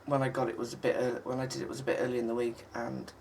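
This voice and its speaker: A woman with a husky, croaky voice from a lingering cold talks through a crafting project in a rambling, halting way. Her ums are frequent and a cough breaks in now and then. She sits a little back from the mic, with a faint hiss underneath. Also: rumbling low, little pitch movement, a little mellow. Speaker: craft channel host. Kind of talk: casual crafting tutorial. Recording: distant mic with faint noise.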